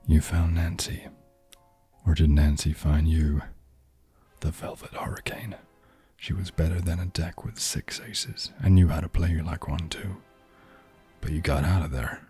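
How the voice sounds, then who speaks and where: A man plays a cynical, hard-boiled noir detective who murmurs slowly in a very deep, husky voice. His brooding half-whisper drifts along unhurried. Soft music plays underneath. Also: exaggerated voice. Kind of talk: ASMR noir audio drama narration.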